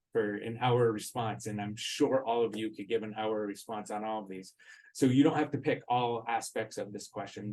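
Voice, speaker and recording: A man's voice: mid-range voice, a little mellow, poor audio. A man moderating a panel, reading out the questions and then inviting the panelists to answer, with casual fillers.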